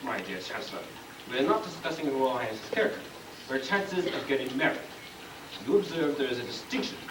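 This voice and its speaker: A man's voice: mid-range voice, animated, a little fast, natural voice. A man playing a pompous, exasperated storybook king in a stage comedy, speaking with dry deliberation. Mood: pompous, reasoning. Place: school stage, live play performance. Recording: distant, noisy, echoey room recording of play.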